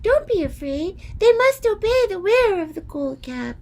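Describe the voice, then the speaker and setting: A very high, squeaky little mouse queen from a storybook. She is small and kindly, with a helpful manner. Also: sing-song, normal speed, a little mellow, heavily acted. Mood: helpful, reassuring.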